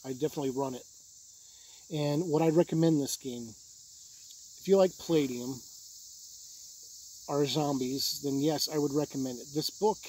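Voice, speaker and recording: A man with a husky, somewhat high voice talks through a review off the cuff. His delivery is plain-spoken and matter-of-fact, like an informal hobby reviewer explaining how things work. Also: mellow, poor audio. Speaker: tabletop game reviewer. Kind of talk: informal unscripted review to camera.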